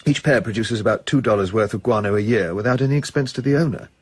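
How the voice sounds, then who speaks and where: Husky, jovial upper-class English official. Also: male voice, fairly low pitch, fast. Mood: chatty, informative. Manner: chatty, old-school enthusiasm. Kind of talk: radio drama acting.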